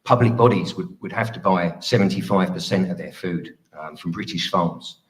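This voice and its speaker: Male candidate with a low, deep voice, delivering policy in a measured, hesitant way at a hustings. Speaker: Reform UK parliamentary candidate. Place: live public hustings event.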